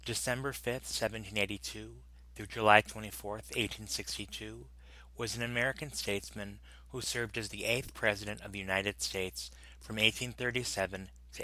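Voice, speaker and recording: A man reads an encyclopedia article aloud at a steady, unhurried clip, sometimes tripping over a word and going back to fix it. His lowish voice has a bright, cutting edge. The recording is close and dry, with almost no room sound. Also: no echo, poor audio. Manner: steady reading with occasional stumbles and self-corrections. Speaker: volunteer reader of an encyclopedia article. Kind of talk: plain read-aloud narration.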